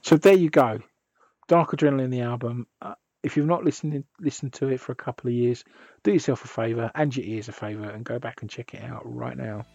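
A man hosting a music podcast, hoarse and husky from a sore throat, rambling with restarts. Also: medium pitch, brisk, warm tone, no echo, highs cut off. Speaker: podcast host. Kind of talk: casual podcast chat.

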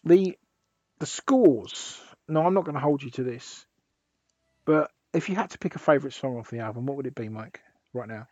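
Podcast host guy, husky sore throat, rambles, dry dull sound. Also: mid-range voice, expressive, moderate pace, darkish tone, no room sound. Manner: rambling, with many restarts. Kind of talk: casual podcast chat.

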